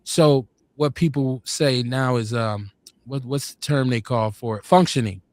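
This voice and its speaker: A man in his forties telling stories in casual chat, rambling and laid-back, getting animated when quoting others. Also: mid-range voice, a little slow, dry recording. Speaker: podcast host.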